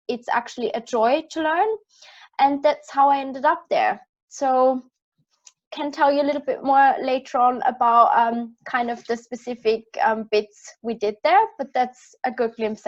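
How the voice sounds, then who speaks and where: Woman, Austrian accent, young adult, slightly high voice, dull lo-fi call audio, friendly explaining. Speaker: project manager, panelist. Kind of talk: career panel talk.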